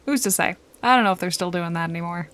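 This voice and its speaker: A woman playing a wry, bossy detective-bodyguard in an intimate noir ASMR roleplay, with a teasing, casually threatening manner and a breathy voice that often drops toward a whisper. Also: fairly low pitch, quick pace, fairly bright tone, close to an everyday voice. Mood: sardonic, menacing.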